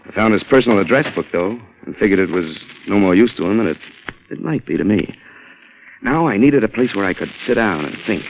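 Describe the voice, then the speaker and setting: A man's voice: low voice, background noise. A man playing a brash, wisecracking newspaper reporter who narrates his crime story in wry, clipped, streetwise hardboiled radio style. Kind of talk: hardboiled 1940s radio drama acting. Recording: vintage radio recording with music behind.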